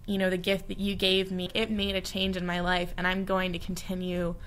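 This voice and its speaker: A woman's voice: fairly low pitch, monotone, fairly quick, fairly bright tone, low-quality recording. A young woman from the United States, a study abroad alumna, giving earnest, heartfelt reflections in an interview, with frequent fillers. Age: young adult.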